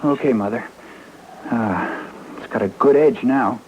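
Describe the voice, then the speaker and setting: A man's voice: mid-range voice, a little slow, darkish tone, natural voice. An actor playing a resentful, menacing teenage boy in a 1950s radio drama, needling and insistent. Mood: brooding. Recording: muffled, noisy old radio recording.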